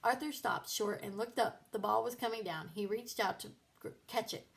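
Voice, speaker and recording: A woman's voice: medium pitch, moderate pace, vocal fry. A woman narrating a children's book aloud as a storybook reader.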